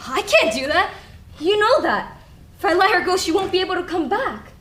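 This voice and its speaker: A woman's voice: very high-pitched, big pitch swings, normal speed, a bit bright, echoey room, a little hiss or hum, heavily acted. A young woman acting in a stage play as a weary, exasperated college-age daughter who pleads and flares up. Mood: torn, resigned.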